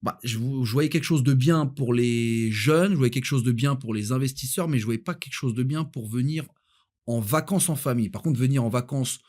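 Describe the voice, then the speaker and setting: A man's voice: mid-range voice, normal speed, a little mellow. A man speaking as a podcast guest and property adviser, in a casual, blunt, colloquial way, often repeating himself. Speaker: guest, Dubai-based real estate adviser.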